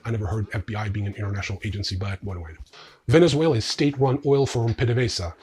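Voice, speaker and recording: A man's voice: on the low side, rapid-fire, darkish tone. A man hosting a news show, reading scripted stories with dry, sardonic offhand asides.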